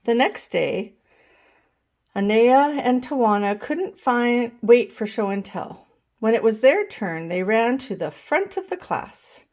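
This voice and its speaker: A woman reads a picture book aloud to children at an unhurried pace. Her pitch moves around in a lively way, and she is expressive, stopping now and then to speak to her young listeners. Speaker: storytime reader.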